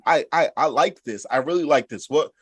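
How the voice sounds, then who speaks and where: A man's voice: on the high side, a little fast, breathy edge, no echo. A man on a podcast panel, making his case casually and emphatically, repeating words for stress. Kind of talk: casual opinionated discussion.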